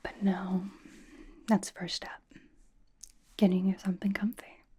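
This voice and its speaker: Girl playing a vain k-pop star doting on a fan, asmr whisper, soft dark tone, unhurried, deadpan teasing. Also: mid-range voice, a little hiss or hum, natural voice. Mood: fond, bragging. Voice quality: breathy whisper. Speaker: ASMR roleplay performer.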